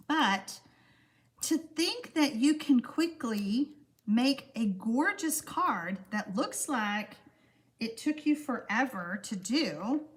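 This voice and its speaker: Woman host, middle-aged, slightly froggy voice, bright lively pitch, chatty craft demo. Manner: chatty, talks to viewers while working. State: froggy voice from allergies. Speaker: card-making demonstrator hosting a livestream. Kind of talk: casual livestream craft demonstration.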